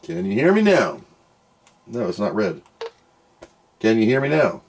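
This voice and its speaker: Guy doing an unboxing and test, talking to himself as he goes, pitch moves around a lot. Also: wildly swooping pitch, unhurried, gravelly, darkish tone, breathy edge. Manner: muttering to himself while working, reading screens aloud. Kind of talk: casual off-the-cuff hands-on commentary.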